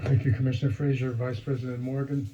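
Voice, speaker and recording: A man chairs a public meeting and calls on commissioners in formal, procedural phrasing. His voice is dark and evenly flat. The sound is dull, with faint ambience. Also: medium pitch, fast talker, slight background noise.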